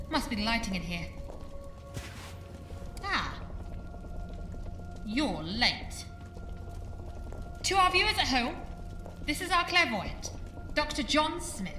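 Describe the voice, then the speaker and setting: A woman playing a brisk, bossy television ghost-hunt presenter in an audio drama. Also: high voice, full character voice. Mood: brisk, stern. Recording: roomy echo, faint music behind.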